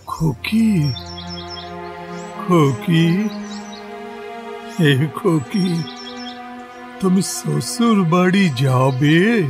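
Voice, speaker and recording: Audio drama role: humble ageing Afghan pedlar, very slow and emotional, music underneath. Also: male voice, a little high, animated, full character voice. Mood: tender, hesitant. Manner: halting, emotional, repeats his words. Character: ageing Afghan dry-fruit pedlar, tender, humble father.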